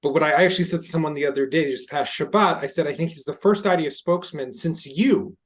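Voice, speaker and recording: A man hosting an interview, talking in a brisk, conversational and agreeable way. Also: a little high.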